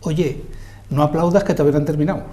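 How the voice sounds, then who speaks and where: A man with a Madrid accent tells his stories as an interview guest, modest and quick to poke fun at himself. His voice sits a little high, and its tone is soft and mellow. He speaks in a TV studio setting. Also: steady tone. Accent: Madrid Spanish. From Spain, Madrid. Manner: self-deprecating storyteller, modest. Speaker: guest, lawyer and journalist. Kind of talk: relaxed interview conversation.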